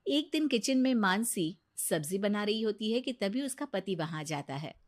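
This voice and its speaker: A woman's voice: medium pitch, animated, normal speed. A woman delivering scripted narration as the storyteller of a moral tale.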